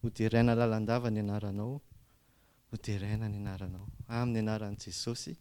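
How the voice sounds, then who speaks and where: A man speaks in a halting, earnest way, pausing on fillers and restarting his sentences. His voice sits a little low and sounds smooth and resonant. He shares a personal testimony and then a spoken prayer. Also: level tone, warm tone. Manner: hesitant, many fillers and restarts. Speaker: church member giving testimony and prayer.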